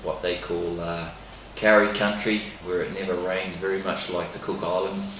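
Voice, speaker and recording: A man's voice: low voice, level tone, normal speed, a bit bright, slight background noise. A man, a cricket player, introducing himself in a friendly, hesitant way. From northern New Zealand. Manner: friendly, hesitant, many fillers. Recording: echoey room, mic at a distance.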